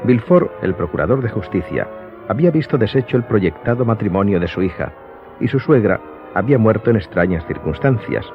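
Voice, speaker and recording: Deep guy narrating an audio drama, bit muffled, music in the back. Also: expressive, brisk. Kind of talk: scripted radio drama narration. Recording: slightly dull audio, music behind.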